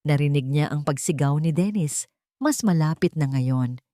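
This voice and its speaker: A woman storyteller delivers scripted dramatic narration. Her voice sits a little low for a woman and moves with lively, expressive intonation. The sound is dry, with no room to it. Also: moderate pace, no room sound.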